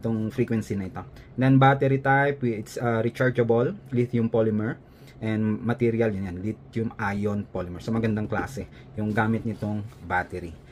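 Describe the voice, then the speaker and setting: A man's voice: fairly low pitch, fairly even intonation, normal speed, a little mellow, a little hiss or hum. A man with a Filipino accent presenting a product in a casual vlog style, explaining conversationally and often checking in with a short tag question. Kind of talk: casual unboxing and product review, explaining as he goes.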